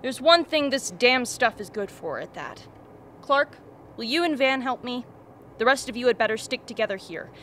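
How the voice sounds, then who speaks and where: An actress playing a coolly analytical scientist in a radio play, formal and measured with a dry wit. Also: female voice, a little high, animated, fairly bright tone, slight background noise. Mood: cool, detached.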